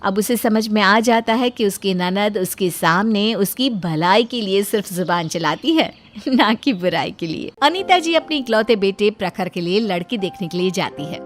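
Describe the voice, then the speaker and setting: A woman's voice: mid-range voice, animated, normal speed. A woman narrating a scripted moral story as a storyteller. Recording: music bed under the voice. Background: background music.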